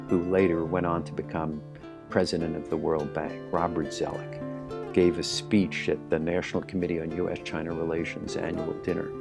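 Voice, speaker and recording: A man's voice: low voice, creaky. A man answering as an expert guest in a sit-down interview, explaining thoughtfully with the odd filler. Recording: interview with music underneath.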